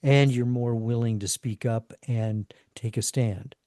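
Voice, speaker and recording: A man with a mellow, warm voice, recorded close and dry with almost no room sound. He is a veteran journalist appearing as a podcast guest. He asks teasing, probing follow-up questions. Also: medium pitch, steady tone, a little slow, no room sound. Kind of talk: casual interview chat.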